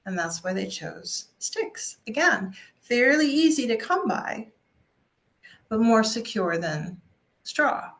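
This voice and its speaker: Slow-paced woman telling a personal testimony. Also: on the high side, very animated, a bit bright. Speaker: speaker and coach. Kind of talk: scripted personal testimony told as a story.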